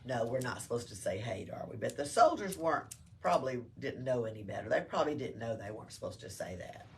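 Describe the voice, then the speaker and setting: A woman's voice: extremely low pitch, expressive, quick pace. A woman reading a storybook aloud to children as a library storyteller. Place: indoors in a library. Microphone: distant room mic. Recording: distant mic with faint background noise.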